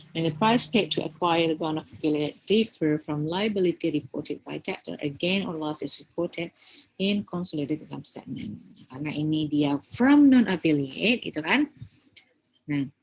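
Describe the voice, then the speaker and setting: A woman's voice: deep voice, slightly rough, poor audio. A woman with an Indonesian accent giving an informal recorded lecture, explaining step by step with English terms mixed in and frequent checking tags. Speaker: accounting lecturer.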